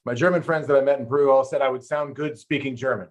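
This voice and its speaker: A man's voice: mid-range voice, steady tone, quick pace, lo-fi sound. A middle-aged man hosting a podcast, chatting casually and rambling into playful stories. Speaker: podcast host. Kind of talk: casual interview chat.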